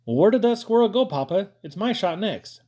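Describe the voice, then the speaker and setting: A man's voice: high-pitched, lively intonation, fairly quick, dark tone, voice pushed up. A man voicing an eager young boy hunter who earnestly questions his father.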